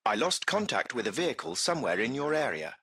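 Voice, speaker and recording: A man's voice: medium pitch, very animated, fast, vocal fry, a bit bright, slightly rough, heavily acted. A man voicing a courteous, composed artificial intelligence that runs a taxi fleet, speaking in formal, precise, butler-like phrases for a video game. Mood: composed, informative. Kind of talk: scripted video game voice acting.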